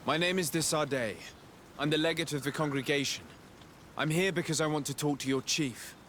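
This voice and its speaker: An actor playing an earnest, courteous diplomat in a fantasy video game, polite and assured. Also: male voice, on the high side, brisk, a bit bright, a little hiss or hum, close to an everyday voice. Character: young male diplomat legate, earnest and courteous.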